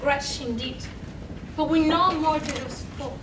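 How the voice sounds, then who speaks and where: A young woman performing a dramatic poetry reading, slow and pleading with sudden loud swells, in a large room. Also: sits high, distant mic. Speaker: contest reader. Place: large college event room. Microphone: far camera, standing away from mic. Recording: echoey room recorded from afar, noisy.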